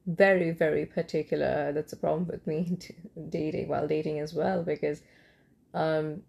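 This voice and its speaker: A woman's voice: deep voice, flat delivery, moderate pace, vocal fry, darkish tone. A young woman from Kolkata with a cold, talking in a loose late-night podcast monologue with many ums and restarts. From India, Kolkata. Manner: rambling with frequent ums and restarts, light laughs. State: has a cold. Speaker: podcast host.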